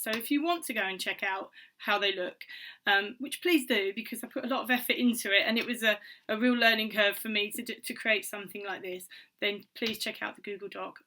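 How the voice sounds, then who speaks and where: A woman vlogger, slightly hoarse from a cold, chatting casually to camera with many ums and restarts. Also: mid-range voice, fairly even intonation, fairly quick, pushed and bright, slight background noise. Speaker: vlogger and author.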